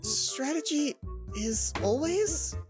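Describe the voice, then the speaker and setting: A woman's voice: high-pitched, animated, takes it slowly, music in the background, voice pushed up. A woman voicing a timid but eager schoolgirl heroine in a visual novel, hesitant and flustered.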